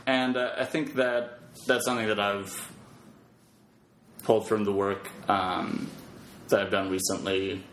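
Low-voiced, reflective man in a roomy space. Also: level tone, measured pace, pauses often, a bit bright. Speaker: podcast guest, web developer and comedy performer. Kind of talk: casual interview conversation. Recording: roomy echo, faint background noise.

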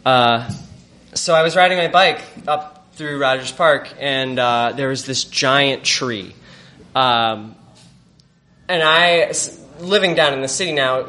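A man telling a reflective personal story to a live audience, halting and searching for words. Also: mid-range voice, bright, forceful tone. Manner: halting, reflective, many fillers and restarts.

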